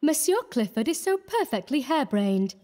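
A woman playing a high, lilting, teasing young coquette. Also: big pitch swings, moderate pace, warm tone. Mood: amused, teasing. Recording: echoey hall-like reverb.

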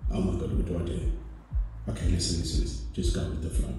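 A man's voice: low voice, level tone, fast, mellow. A young man acting out an ASMR boyfriend roleplay in a casual, conversational way. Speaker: audio roleplay performer. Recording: music behind the voice. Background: music.

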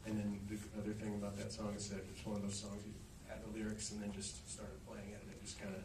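A man's voice: lowish voice, monotone, fast. A middle-aged man answering audience questions after a film screening, rambling and anecdotal with plenty of fillers. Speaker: actor and musician in a film Q&A. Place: cinema stage with audience. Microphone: handheld mic, recorded from far in the audience. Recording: echoey theater from far off, noisy.

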